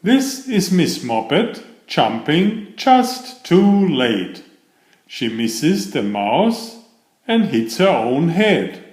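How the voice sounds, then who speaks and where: Male children's storyteller, unhurried read with animated intonation, echoey room sound. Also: on the high side. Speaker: storyteller reading children's picture books. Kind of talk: scripted children's story narration. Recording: roomy echo on the voice.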